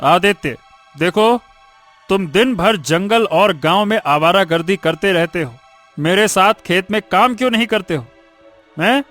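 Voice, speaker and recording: An actor playing a stern, hardworking farmer who lectures like a scolding older brother in a cartoon story. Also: male voice, high-pitched, measured pace, a bit bright, faint music behind.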